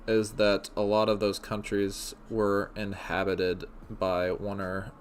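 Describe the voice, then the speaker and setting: A man's voice: lowish voice, monotone, takes it slowly, a little hiss or hum. A young man from Indiana hosting a livestream, laid-back and laughing often as he reads chat comments aloud. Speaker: livestream host.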